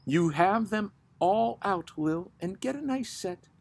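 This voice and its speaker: A man's voice: high voice, expressive, slow pace, creaky, voice pushed up, heavily acted. A man voicing a blunt, gossiping working-class woman in a dramatic poem, chatty and scolding. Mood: scolding, gossipy.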